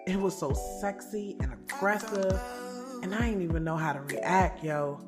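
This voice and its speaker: A woman's voice: fairly low pitch, moderate pace, natural voice. A woman playing a sassy, candid young woman in an audio drama, telling her story in a casual, slangy way, swearing freely. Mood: flustered. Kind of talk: audio drama first-person narration and dialogue. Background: music.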